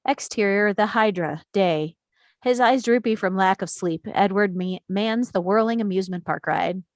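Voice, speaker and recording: A woman reading the action lines of a screenplay at a table read, in a steady, descriptive way. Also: mid-range voice, normal speed, dry recording, clean studio sound. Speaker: reader of stage directions.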